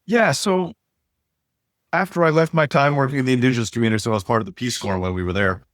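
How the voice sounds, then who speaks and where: A man in his forties who grew up in Turkey and speaks Turkish as his first language, telling stories as an interview guest in a lively, animated way. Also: mid-range voice, dry recording, studio quality. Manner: fast, animated storyteller. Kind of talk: casual interview storytelling.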